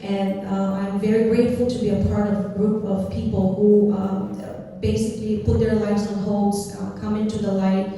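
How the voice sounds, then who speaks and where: Heard from far off in a big echoey hall, a woman speaks in a dark, mellow tone and a level, even delivery. She is a candidate at a forum, earnest and measured. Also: mid-range voice, slight background noise. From Bulgaria. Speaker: senatorial candidate.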